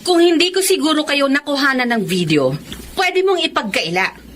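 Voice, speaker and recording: A high-voiced Filipina wife in a radio drama, hurt yet resolute as she confronts betrayal. Her delivery swings widely in pitch, over noticeable background noise. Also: expressive, normal speed, fairly bright tone. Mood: cold, accusing.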